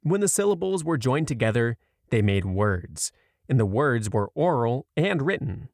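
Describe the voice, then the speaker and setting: A man narrating a short story aloud in a measured, clearly read storytelling delivery. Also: mid-range voice, sing-song, a little mellow, dry recording, clean studio sound.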